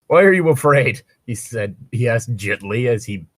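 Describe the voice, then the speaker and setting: A man's voice: medium pitch, animated, normal speed, slightly rough, no room sound. A man reading fan fiction aloud casually and breaking in with sarcastic, crude wisecracks. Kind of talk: casual read-aloud with joking commentary.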